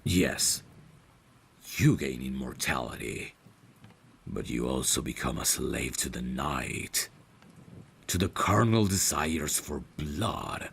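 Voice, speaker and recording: A man playing a devoted, courteous vampire butler in an intimate ASMR audio roleplay, speaking formally and deliberately in a gravelly, breathy voice. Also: very deep voice, expressive, a bit bright, slight background noise, full character voice. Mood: startled, concerned. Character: devoted, courteous male vampire butler, tender yet menacing.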